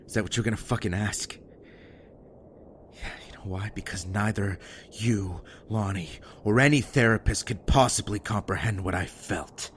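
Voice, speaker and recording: A man acting in an audio drama as a bitter, wounded, foul-mouthed survivor with a halting, raw delivery. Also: lowish voice, a little hiss or hum, natural voice. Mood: furious.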